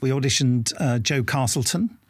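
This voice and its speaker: A man with a creaky, gravelly voice at average pitch recounts things casually in an interview. The sound is dry and close. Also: moderate pace, no echo.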